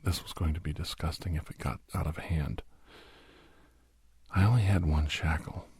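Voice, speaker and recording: A man playing a captive doctor who grimly recounts his escape, half-whispered in a breathy ASMR horror narration with halting, deliberate phrases. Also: extremely low pitch, fairly even intonation, darkish tone, dry recording, exaggerated voice. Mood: grim, tense. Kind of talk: first-person scripted horror narration, ASMR.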